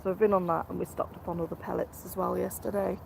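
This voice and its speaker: A hoarse woman, chatting quietly to viewers on a fishing live stream outdoors by a lake. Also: fairly low pitch, fairly even intonation, fast, a little hiss or hum, rough recording. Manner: speaks quietly, greets viewers. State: hoarse from a cold. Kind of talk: casual live-stream chat.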